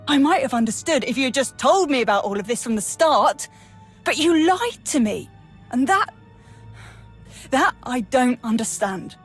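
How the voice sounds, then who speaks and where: Sincere, dutiful army major. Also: female voice, high-pitched, lively intonation, normal speed, faint music behind. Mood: hurt, reproachful. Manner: earnest, crisp military address. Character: dutiful, sincere female Imperial army major, loyal and frank. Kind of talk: dramatic video game acting.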